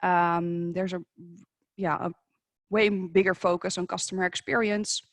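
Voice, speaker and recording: A woman from the Netherlands with a Dutch accent, giving a conference presentation, measured and a little hesitant, with frequent fillers and restarts. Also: on the low side, flat delivery, no echo, clean studio sound. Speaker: presenter at a professional webinar. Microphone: remote video call.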